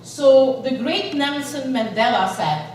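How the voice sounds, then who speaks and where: Woman motivational speaker from Egypt, high and energetic, miked from a distance in a big echoing hall. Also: slow, slight background noise. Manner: energetic, rallies the crowd with questions. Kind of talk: inspirational speech to a live audience. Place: large event hall. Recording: echoey hall, voice from far off.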